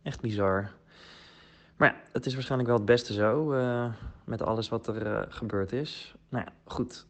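An actor as a young man with a medium-pitched voice, fond and a bit wistful. He speaks loosely and hesitantly, as if leaving a message for someone close in a fiction podcast. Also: normal speed, close to an everyday voice. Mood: wistful, affectionate. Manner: casual, hesitant. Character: young man, affectionate friend left behind, wistful. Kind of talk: naturalistic audio drama, voice message.

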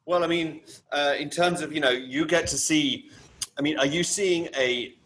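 A man's voice: on the high side, normal speed, fairly bright tone, rough recording. A man who grew up in Kenya, chatting as an interview guest and speaking hesitantly with many restarts. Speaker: guest, property finance specialist. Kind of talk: casual interview chat. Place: home in Barcelona.

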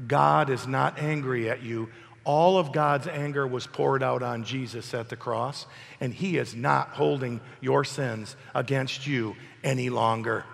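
A man preaching an emphatic sermon while hoarse from a cold, asking his congregation questions. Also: mid-range voice, fairly even intonation, unhurried, long reverb tail. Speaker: pastor. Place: church hall.

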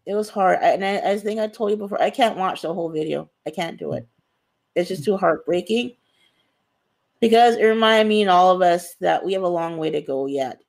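A woman's voice: fairly low pitch, a little fast, creaky, darkish tone. A woman author answering interview questions in an earnest, emphatic way, repeating phrases for stress. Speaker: author, guest.

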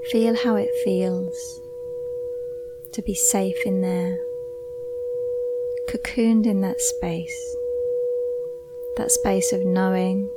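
A woman leads a guided meditation in a soothing, hushed voice, leaving long pauses between short phrases. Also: medium pitch, sing-song, very noisy. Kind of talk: slow guided meditation narration. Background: ringing Tibetan singing bowls.

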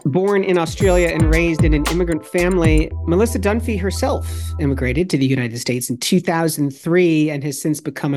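A woman's voice: deep voice, darkish tone. A woman co-hosting a podcast, reading a guest introduction and asking playful questions. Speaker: podcast co-host. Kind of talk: scripted introduction and interview questions.

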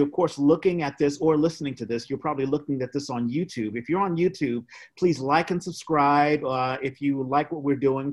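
Higher-voiced man hosting a casual podcast. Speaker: podcast host. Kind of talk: casual podcast sign-off and announcements.

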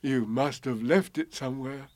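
A man's voice: mid-range voice, moderate pace, a little mellow, dry recording, studio quality, natural voice. A man voicing a kindly, simple-witted toy bear in a storybook, speaking earnestly and solemnly. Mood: concerned, earnest.

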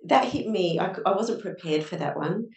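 A woman's voice: mid-range voice, lively intonation, normal speed, a little mellow. A woman speaking on a panel, giving earnest advice built from examples to a live audience. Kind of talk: panel discussion answer. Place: event room with audience. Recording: echoey room from a distance.

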